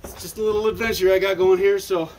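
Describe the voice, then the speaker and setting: A man with a slightly high-set voice talks through a casual vlog. He rambles and thinks aloud in a folksy, off-the-cuff way. There is some light noise on the recording. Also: steady tone, fast, darkish tone, rough recording. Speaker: vlogger exploring a cave. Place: cave trail.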